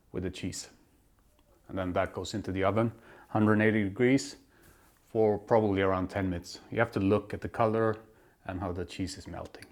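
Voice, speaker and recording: A man from Belgium hosting his own cooking show, walking through a recipe in a relaxed, step-by-step way in a home kitchen. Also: lowish voice. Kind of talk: casual cooking demonstration.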